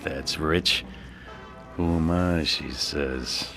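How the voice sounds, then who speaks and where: An actor playing a cocky, scheming street drifter with swaggering, slangy talk in a radio drama. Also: male voice, very deep voice, steady tone, drawn-out words, fairly bright tone, faint music behind, heavily acted. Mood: insolent, commanding.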